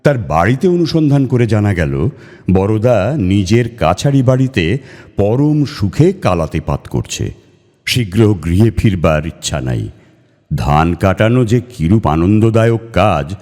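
A man reading a literary story aloud in a measured, theatrical way, as a storyteller. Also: on the low side, a little mellow. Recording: long reverb over music. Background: music.

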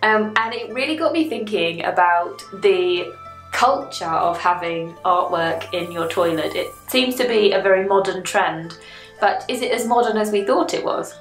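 A woman's voice: medium pitch, moderate pace, faint music behind. A young woman musing aloud to camera as a photography vlogger, recorded in a small bathroom. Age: young adult. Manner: thoughtful, musing, asks questions aloud. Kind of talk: casual reflective talk to camera. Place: small tiled bathroom, indoors. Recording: roomy small-bathroom echo.

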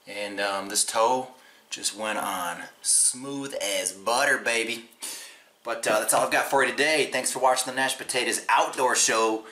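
A man's voice: medium pitch, measured pace, pushed and bright. A man in his forties chatting casually in a how-to vlog, upbeat and full of ums, recorded by a camera across a desk in a small room. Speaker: vlogger.

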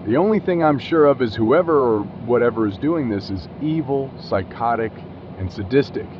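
A man's voice: mid-range voice, animated, normal speed, lightly acted. A man playing a suspicious, emphatic friend in a scripted casual phone chat. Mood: skeptical, uneasy. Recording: dull, noisy outdoor phone call.

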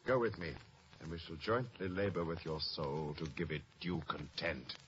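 A man's voice: low voice, moderate pace, loud music behind the voice, close to an everyday voice. An actor playing a scheming, persuasive, treacherous king in 1950s radio Shakespeare. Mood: soothing, persuasive. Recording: dull old radio recording.

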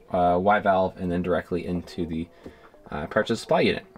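A man in his thirties giving a relaxed step-by-step how-to explanation inside a small sailboat cabin. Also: lowish voice. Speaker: sailing vlogger. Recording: background music over voice.

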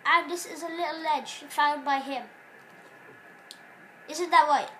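A woman hosting a gaming channel, giving casual rambling commentary on gameplay while sick, sounding nasal and stopping to cough, recorded in a dark room on a phone aimed at a TV. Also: sits high, fairly even intonation, unhurried, a little hiss or hum.

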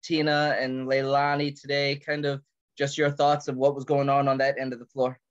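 Flat-voiced male reporter, dull sound. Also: medium pitch, moderate pace, clear, resonant tone. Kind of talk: press conference questions.

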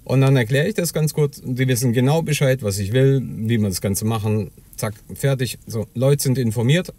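A man's voice: medium pitch, normal speed, warm tone. A man who works as a heating installer, telling casually and chattily how he handles a job. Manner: chatty, loose storytelling with filler "ja?" and "ähm". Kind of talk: casual vlog narration.

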